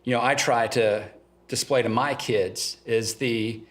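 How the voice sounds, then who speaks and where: A man's voice: mid-range voice, fairly even intonation, measured pace, fairly bright tone. A middle-aged man from eastern Ohio with a slight Southern-tinged local accent, speaking plainly and earnestly as a guest in a casual interview. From eastern Ohio, USA. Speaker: guest, father of three.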